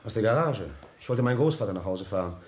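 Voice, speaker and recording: Actor as fast-talking young man, roomy sound. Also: on the low side, animated, close to an everyday voice. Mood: puzzled. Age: young adult. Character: matter-of-fact young son of wealthy family. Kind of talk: radio play dialogue. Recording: roomy, noisy, slightly distant recording.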